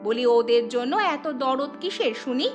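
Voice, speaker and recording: A woman plays a mean, scornful cartoon auntie with a high-pitched voice. She nags and scolds sharply, like a sour mother next door. Music plays behind her words. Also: normal speed, close to an everyday voice. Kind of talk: cartoon story dialogue.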